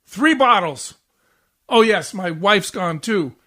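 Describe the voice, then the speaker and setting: Grouchy, self-pitying husband played by a man for vintage radio comedy; whiny melodrama, very unhurried delivery. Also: high-pitched, expressive, bright, forceful tone, full character voice. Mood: agitated. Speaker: community theatre actor. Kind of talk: 1940s radio comedy acting.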